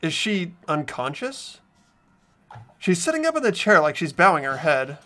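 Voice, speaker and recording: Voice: mid-range voice, big pitch swings, normal speed, pushed and bright. A performer playing an earnest, easily startled teenage schoolboy who narrates and speaks in a visual novel dub. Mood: subdued narration. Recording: background game music.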